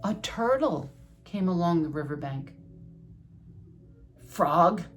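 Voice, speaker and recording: An older woman reading a children's story aloud with asides, deliberate and teacherly like a schoolteacher. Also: on the low side, gravelly, faint music behind.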